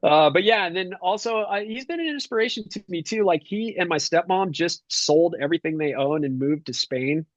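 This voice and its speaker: A man's voice: high voice, brisk, few pauses, dry recording, lo-fi sound. A middle-aged man talking casually as a podcast guest, rambling with lots of fillers and restarts. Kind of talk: casual conversation.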